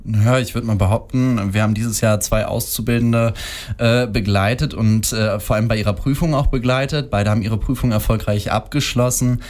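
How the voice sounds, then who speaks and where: A man in his thirties speaks as a guest on a radio show, his voice sitting a little low. He talks with frequent fillers and hesitant restarts as he explains his work as a manager. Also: fairly even intonation, moderate pace, darkish tone. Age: 30s. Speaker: food and beverage manager.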